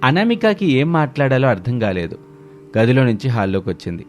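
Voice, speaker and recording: A man's voice: medium pitch, sing-song, fairly quick. Casting: male narrator for scripted family tales, mid-range voice, light music underneath. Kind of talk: scripted moral story narration.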